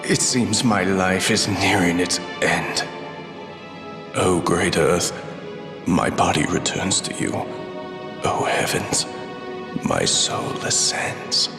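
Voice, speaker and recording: A man's voice: deep voice, slow, gravelly, long pauses, full character voice. An actor playing a scheming, power-hungry traitor lord in a fantasy game, cold and contemptuous, speaking in a grandiose, theatrical way as he nears death. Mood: solemn, dying defiance. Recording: long hall reverb, loud music behind.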